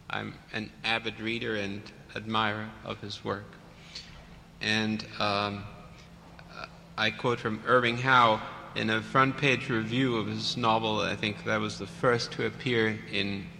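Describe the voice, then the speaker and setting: Gravelly-voiced man introducing a guest. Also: medium pitch, a little hiss or hum. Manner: warm but halting, with fillers and restarts. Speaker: reading series host. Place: church hall. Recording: reverberant church, faint ambience.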